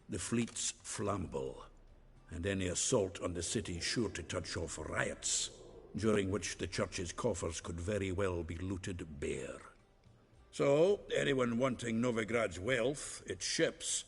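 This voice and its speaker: A gruff, worldly dwarf for a video game, low and heavily gravelled. He talks bluntly and wryly in an extremely husky voice, with a long reverb around it. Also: exaggerated voice. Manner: blunt, wry, colourful.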